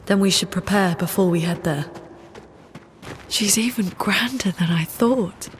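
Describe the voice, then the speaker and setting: An actress playing a pensive, melancholy adventurer in a video game, speaking in a measured, reflective way. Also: female voice, medium pitch, a little hiss or hum, lightly acted. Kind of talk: dramatic game dialogue.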